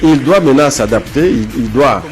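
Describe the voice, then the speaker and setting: A Congolese man with a mid-pitched voice gives his political opinions in an interview. His French carries a Congolese accent. He argues emphatically and repeats phrases for weight, with faint music in the recording. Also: animated, normal speed. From South Kivu, DR Congo. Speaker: political commentator, interview guest.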